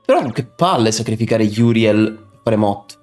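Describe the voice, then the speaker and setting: A young man with a fairly low voice streams a video game. He comments casually, half muttering to himself and half talking to his chat, with game music playing faintly behind him. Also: lively intonation, normal speed, a little mellow. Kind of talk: casual live game commentary, chatting with viewers.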